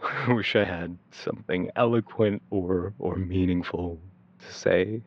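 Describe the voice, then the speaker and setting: Expressive, devoted young man. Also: sits low, breathy edge, lo-fi sound, full character voice. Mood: somber narration. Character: tender, anxious young man, devoted musician. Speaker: lead actor in supernatural mystery audio drama. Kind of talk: audio drama acting and reflective narration.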